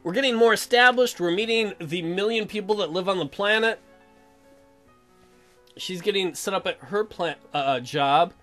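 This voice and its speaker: A man's voice: high-pitched, normal speed, pushed and bright, faint music behind. A man giving casual, irreverent and crude joking commentary as a let's play host.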